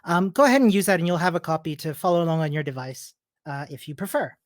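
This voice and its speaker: A man's voice: fairly high pitch, animated, fast, no room sound, studio quality. A man from Chile giving a conference talk as a personal story, in a friendly, informal manner. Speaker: conference speaker, software developer. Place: remote virtual meetup presentation.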